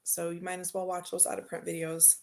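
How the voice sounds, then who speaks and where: A woman's voice: fairly low pitch, completely flat delivery, brisk, darkish tone. A woman hosting a tarot channel, chatting casually and rambling as she unboxes decks and answers viewer comments.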